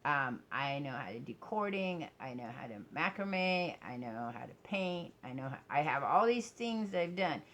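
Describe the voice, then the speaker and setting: A woman talking casually to viewers in a personal vlog, blunt and self-deprecating, halting as she thinks aloud. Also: low-pitched, animated, fairly bright tone, slight background noise. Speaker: vlogger.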